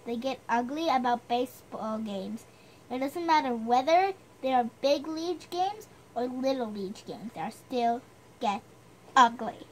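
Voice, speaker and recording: A woman's voice: high voice, a little hiss or hum, poor audio. A woman reading a storybook aloud with chatty, self-deprecating asides, stumbling over the odd word.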